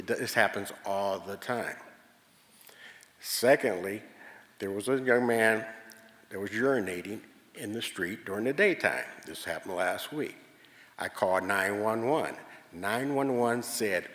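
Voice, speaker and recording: A man's voice: lowish voice, fairly bright tone. An older man giving public comment at a meeting, recounting his experiences in an earnest, plainspoken way. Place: large public meeting hall. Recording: reverberant hall.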